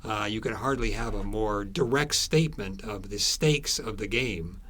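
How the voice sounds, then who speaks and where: A man with a fairly low voice talks as a guest author in an interview. He tells stories conversationally, often repeating words and drifting into asides before finishing a thought. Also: moderate pace, a bit bright.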